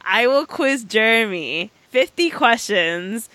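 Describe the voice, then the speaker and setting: A young woman co-hosting a fan podcast, slightly hoarse from a cold, chatting with enthusiasm and laughing often. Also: a little high, expressive, slow pace, bright, forceful tone, no room sound. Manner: giggly, enthusiastic. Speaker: podcast co-host. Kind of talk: casual podcast chat.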